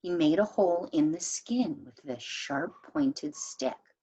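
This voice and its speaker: Very animated woman storyteller, slightly husky. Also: deep voice, slow. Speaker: read-aloud storyteller. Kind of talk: expressive children's story narration.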